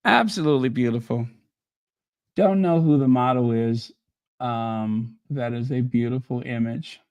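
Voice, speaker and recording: Male livestream host, mid-pitched, slow and rambling, chatty with viewers, voice fading. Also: darkish tone, smooth, dry recording, studio quality. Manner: chatty and musing, thinking aloud with many ums. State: losing his voice. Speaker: channel host. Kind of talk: casual livestream chat.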